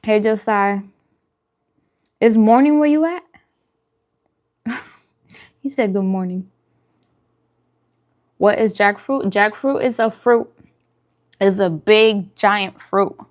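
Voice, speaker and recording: A woman's voice: medium pitch, takes it slowly, pauses often. A woman chatting casually and in a friendly way as she hosts a food livestream, answering viewers' comments.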